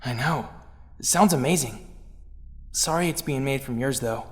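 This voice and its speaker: An actor playing a friendly, curious young superhero recruit in an audio drama, in a long echo. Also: male voice, medium pitch, moderate pace, natural voice. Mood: friendly, apologetic. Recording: long echoing hall reverb.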